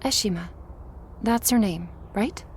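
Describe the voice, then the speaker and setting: A woman's voice: medium pitch, unhurried, slight background noise, natural voice. A woman playing a sly, taunting, thirsty vampire outsider in a scripted audio drama, teasing and smug.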